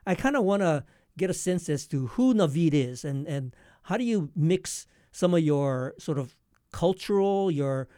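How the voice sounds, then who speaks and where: A male radio host with a fairly high, mellow voice, recorded close and dry. He talks conversationally, feeling his way haltingly toward each question. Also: animated, no room sound. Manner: halting, searching for words.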